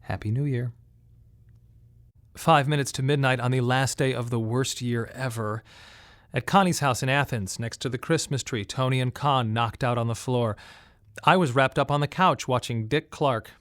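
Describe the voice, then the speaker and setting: A man's voice: mid-range voice, moderate pace, fairly bright tone, no room sound, clean studio sound, natural voice. An actor playing a weary, bereaved father who tells his story in a reflective, wry radio drama monologue. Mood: wry, bitter.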